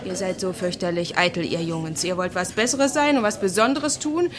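A woman's voice: lowish voice, brisk, a crowd in the background, close to an everyday voice. An actress playing a headstrong, self-reliant woman of twenty-five in a radio play, earnest and emphatic. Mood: defiant.

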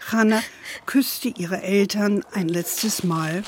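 An actress playing an older, indulgent grandmother telling stories in a children's radio play, with a playful, calm manner. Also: medium pitch, slightly rough. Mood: gentle storytelling. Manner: unhurried, sprinkles English endearments.

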